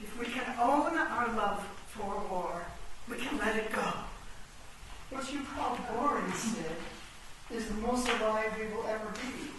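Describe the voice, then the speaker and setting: Woman playing idealistic leader of living chess pieces; slow, declamatory, high voice, radio-play style, echoey room. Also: natural voice. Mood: idealistic, solemn. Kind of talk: theatrical radio play acting. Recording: echoey, distant, noisy stage recording.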